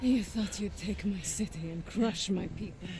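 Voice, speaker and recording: An actress playing a vengeful, defiant Soviet sniper, speaking with deliberate contempt in a game. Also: female voice, medium pitch, noisy background, close to an everyday voice. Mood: cold menace.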